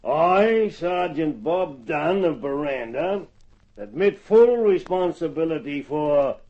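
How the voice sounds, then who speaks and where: A man's voice: a little high, expressive, slow. An actor playing a crafty, bullying country man in an old-time radio drama, talking folksy and mock-polite. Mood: gleeful menace. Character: crafty, bullying rural man feigning innocence. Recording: dull lo-fi old radio recording.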